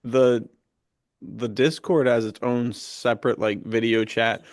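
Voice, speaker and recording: A man's voice: medium pitch, flat delivery, measured pace, no room sound, studio quality. A man co-hosting a podcast in casual, slangy, bro-ish chat. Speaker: podcast co-host.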